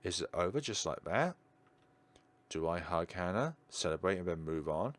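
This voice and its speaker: A man's voice: deep voice, lively intonation, normal speed. A man playing a visual novel, reading its narration and dialogue aloud and musing casually as he goes. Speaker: let's player. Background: game background music.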